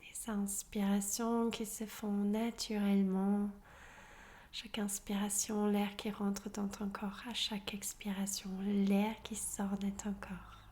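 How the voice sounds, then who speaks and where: Woman, yoga nidra teacher, soft breathy half-whisper, even gentle intonation, unhurried, guided relaxation. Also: mid-range voice. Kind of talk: guided meditation, soft-spoken relaxation instruction.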